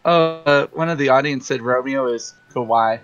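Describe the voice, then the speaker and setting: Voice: medium pitch, moderate pace. A tabletop game player casually narrating their character's actions in the third person. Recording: dull lo-fi mic, faint music behind. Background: faint music.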